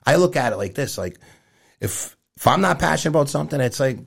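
A man's voice: medium pitch, lively intonation, quick pace, gravelly. A man in his fifties talking candidly and earnestly as a guest in a casual conversational interview. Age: 50s. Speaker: guest, event promoter.

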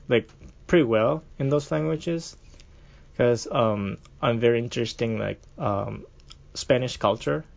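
A man's voice: mid-range voice, vocal fry, lo-fi sound. A young man from Japan with a Japanese accent, chatting as a podcast guest, hesitant with many fillers and restarts. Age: young adult. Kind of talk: casual interview chat.

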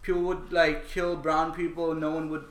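A man's voice: on the high side, level tone, normal speed, slight background noise. A young man from Pakistan in a casual podcast chat, talking frankly and swearing freely. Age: young adult. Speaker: podcast co-host. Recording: echoey room with faint ambience.

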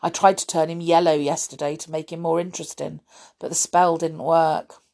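A woman's voice: sits low, little pitch movement, normal speed, gravelly, a little mellow. A woman voicing a blunt, chatty young wizard boy.

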